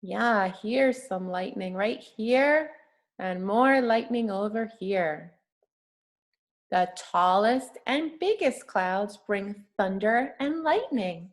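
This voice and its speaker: Slow, high-voiced woman reading to children. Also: animated. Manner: encouraging, simple child-directed talk with frequent questions. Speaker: teacher reading aloud to young children. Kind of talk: children's picture book read-aloud with questions.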